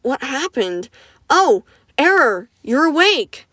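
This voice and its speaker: A young male skeleton howls in agony, his cries shooting high and shrill, as a woman acts him out. The voice is smooth and clear, recorded close and dry. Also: lively intonation, a little slow, fairly bright tone, no room sound. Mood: weak, tired. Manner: screaming and crying out in pain.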